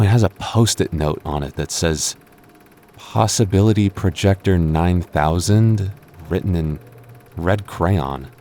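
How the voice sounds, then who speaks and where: A man playing a devoted, earnest young boyfriend in an intimate audio roleplay, speaking haltingly with stammers. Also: sits low, warm tone, slight background noise. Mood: curious, amazed. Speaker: voice actor.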